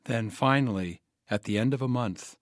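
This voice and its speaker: A man narrating an audio drama in a measured, scripted storytelling manner. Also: medium pitch, no echo, studio quality. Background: music.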